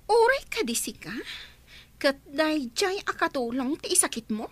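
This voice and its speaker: Shrill, spiteful rich daughter. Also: female voice, very animated, moderate pace, no room sound. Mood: sneering. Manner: sneering, confrontational. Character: bratty, spiteful rich daughter, quarrelsome and domineering. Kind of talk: radio drama acting.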